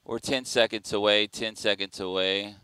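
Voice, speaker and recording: A man's voice: on the low side, fairly even intonation, moderate pace, pushed and bright, smooth, no echo. A man working as a TV director, calling cues and chatting informally with crew. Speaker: TV director in control room.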